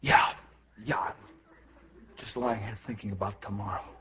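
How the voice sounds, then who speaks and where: A man's voice: fairly low pitch, fairly even intonation, measured pace, a bit bright. Haunted, fretful ice cream man. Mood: brooding dread. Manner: fretful, melodramatic, brooding. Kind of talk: 1950s radio comedy acting. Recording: dull, very noisy 1950s radio recording.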